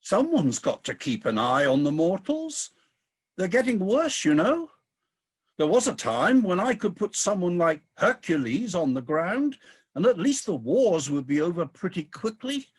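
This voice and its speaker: A woman playing a grumpy, defensive, weary old male god in a comic radio play, husky and indignant. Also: on the low side, very animated, a little slow, a little mellow, exaggerated voice. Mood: weary grumbling. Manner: indignant, grumbling.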